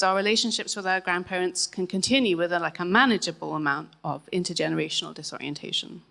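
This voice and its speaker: A woman in her thirties, an author, reading her own essay aloud at a book launch, measured with occasional chatty asides. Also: lowish voice, fairly bright tone.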